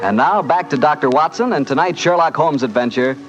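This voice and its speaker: A man's voice: fairly high pitch, fairly quick, over music. A man with an American accent announcing and reading sponsor copy in 1940s radio style. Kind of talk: 1940s radio advertising read. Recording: dull, lo-fi 1940s radio broadcast.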